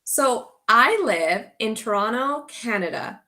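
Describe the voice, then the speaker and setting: A woman teacher from Guatemala, giving a slow, clear orientation to an online English as a course. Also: on the high side, animated, sharp tone. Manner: slow, deliberate delivery for language learners.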